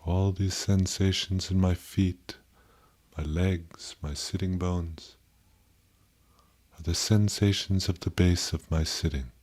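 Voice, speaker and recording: A man leading a guided meditation in a gentle, contemplative way, with pauses and softly posed questions. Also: low voice, fairly even intonation.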